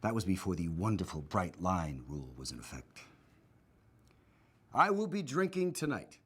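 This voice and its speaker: An actor playing a jaded middle-aged male agent answering questions in a measured, sardonic way in a film. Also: low voice, very animated, fairly bright tone, slightly rough, full character voice. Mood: wry, guarded. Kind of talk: film interview scene, naturalistic acting.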